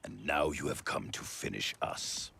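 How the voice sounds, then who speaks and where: An actor playing a stern, distrustful warrior in a video game, speaking in grave, clipped, formal phrases. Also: male voice, very deep voice, a little slow, vocal fry, a little hiss or hum, heavily acted. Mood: stern, suspicious. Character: stern, distrustful male warrior guarding his allies. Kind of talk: dramatic video game acting. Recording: loud music behind.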